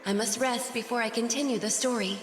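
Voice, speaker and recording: A solemn spirit storyteller from a fantasy game, voiced by a woman, slightly high in pitch and wrapped in echo. She tells her tale in measured phrases with music behind her. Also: fairly even intonation, close to an everyday voice. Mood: weary. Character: solemn magical female spirit storyteller of an amulet. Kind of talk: scripted storybook narration in a game. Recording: echoey reverberant effect, music behind.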